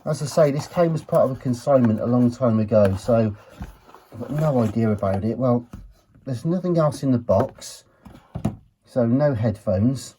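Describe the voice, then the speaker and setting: A man's voice: mid-range voice, normal speed, mellow, a little hiss or hum. A British man hosting a hands-on gadget video, chatting casually and thinking aloud as he goes. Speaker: host of a retro electronics teardown video. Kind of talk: casual hands-on commentary while examining a gadget.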